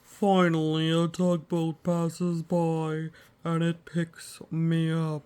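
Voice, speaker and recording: Woman voicing an anxious, very slow storybook walrus. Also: low-pitched, completely flat delivery, dark tone, smooth. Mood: worried. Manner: slow, dramatic, dwelling on each misfortune. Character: anxious, gloomy male walrus, imagining disasters. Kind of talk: storybook read-aloud character voice.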